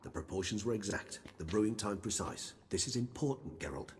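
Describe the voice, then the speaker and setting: A man performs a calm, learned vampire in a game cutscene. He speaks in a deep, formal and precise way. The voice hangs in a long reverb over faint noise. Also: a little fast, lightly acted. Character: ancient courteous male vampire, scholarly and calm.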